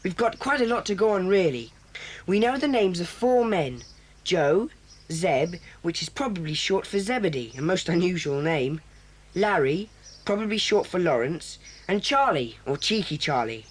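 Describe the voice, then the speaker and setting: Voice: medium pitch, lively intonation, normal speed, lightly acted. A performer voicing a solemn, bossy boy who leads a children's club, speaking in an earnest, formal, commanding way in a 1980s children's audio drama.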